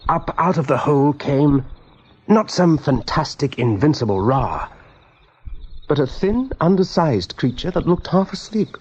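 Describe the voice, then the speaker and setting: A man's voice: a little high, big pitch swings, a little slow, darkish tone, husky, full character voice. A man narrating a radio drama as a storyteller, with vivid, suspenseful delivery. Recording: dull 1980s radio recording.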